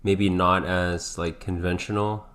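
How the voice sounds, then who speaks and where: A man co-hosting a podcast in casual, reflective conversation, speaking hesitantly as he thinks out loud. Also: deep voice, little pitch movement, measured pace. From grew up in several countries. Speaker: podcast co-host.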